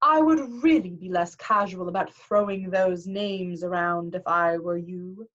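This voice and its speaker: A woman voicing a pudgy, impatient male camp director with a scornful, slow drawl. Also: on the low side, a bit bright, dull audio. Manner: drawling, scoffing, slow and emphatic.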